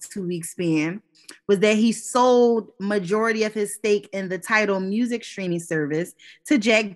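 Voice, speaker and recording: A woman co-hosts a relaxed podcast conversation. She is animated and laughs easily, with bursts of exclamation between stretches of steady explaining. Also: medium pitch. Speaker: co-host. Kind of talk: casual podcast chat.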